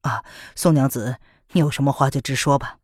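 Woman doing a calm, low-voiced young healer. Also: slightly rough, no echo, clean studio sound. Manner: measured, low, slightly hesitant. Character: calm, reserved young male physician.

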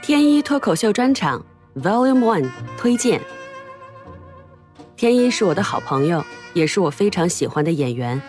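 A woman reading a short show recommendation, admiring and warm in tone. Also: on the low side, sing-song, moderate pace, soft background music. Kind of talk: recorded promo read.

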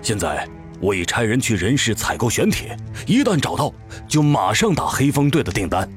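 A beleaguered tiger-demon father and smith, acted by a man in a fantasy audio drama. He pleads and placates deferentially in a middle-pitched voice, with music playing behind. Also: very animated, moderate pace, close to an everyday voice. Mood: placating.

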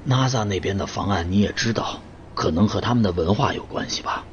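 A man plays a cynical older colleague in a radio play, speaking furtively. His voice is low. It sounds like a muffled phone call with a little noise. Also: brisk, natural voice. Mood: guarded. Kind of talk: audio drama acting.

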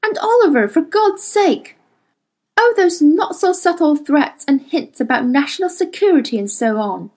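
A woman's voice: high-pitched, expressive, normal speed, a little mellow, pitched above the performer's own voice. A woman voicing an indignant, principled woman scientist who argues heatedly.

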